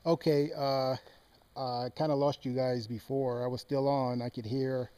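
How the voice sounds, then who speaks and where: A man with a dark, mellow voice at a middling pitch chats casually as a ham radio operator. He sounds like he is talking informally with other hobbyists. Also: flat delivery, unhurried, dry recording. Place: outdoors on a mountain, speaking into a handheld radio.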